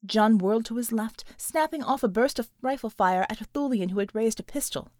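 High-voiced woman narrating fiction, very dry. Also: fairly quick, a little mellow, no room sound. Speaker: audiobook narrator. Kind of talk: scripted dramatic fiction narration.

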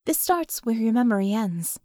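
A woman's voice: on the high side, big pitch swings, normal speed, dark tone, no room sound, clean studio sound. A woman narrator voicing a frank, savvy female mage in an audiobook, matter-of-fact in manner.

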